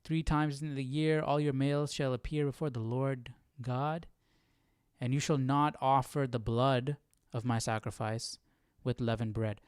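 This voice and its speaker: A man's voice: mid-range voice, little pitch movement, unhurried, clean tone, no room sound, studio quality. A man hosting a casual live Bible study, thinking aloud, questioning and often repeating phrases.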